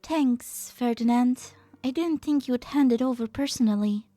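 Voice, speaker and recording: Woman voicing a high-voiced, gentle idealistic scientist. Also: normal speed, no echo, faint music behind, lightly acted. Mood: gentle, wistful. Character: gentle, idealistic female scientist, softly determined. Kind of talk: dramatic fan-dub voice acting.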